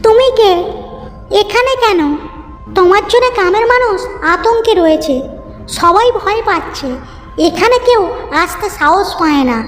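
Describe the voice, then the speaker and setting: Woman doing brave kind village girl, cartoon dub, really high voice, earnest n determined, music behind. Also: normal speed, long pauses. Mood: earnest, firm.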